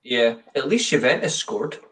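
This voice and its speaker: A man's voice: mid-range voice, animated, moderate pace, bright, forceful tone, distant mic. A man co-hosting a football watchalong, bantering and swearing in casual chat. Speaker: watchalong co-host.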